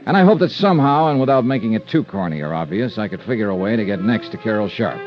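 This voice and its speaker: Animated hard-boiled radio detective played by a man. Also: on the low side, faint music behind, close to an everyday voice. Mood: wry, confident. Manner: brisk, wry, clipped questioning. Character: hard-boiled, wry male freelance insurance investigator. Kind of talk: 1950s radio detective acting with first-person narration. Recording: dull old radio recording.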